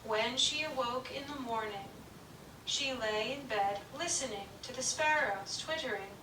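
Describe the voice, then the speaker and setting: A woman narrating a children's puppet show story in a slow, gentle, clearly paced reading. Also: on the high side, noisy background. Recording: echoey room, distant mic.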